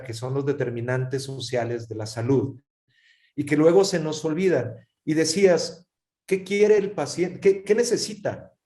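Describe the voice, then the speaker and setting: A man's voice: fairly high pitch, mellow. A man moderating a panel discussion who speaks in a thoughtful way and often repeats words while he searches for a phrase.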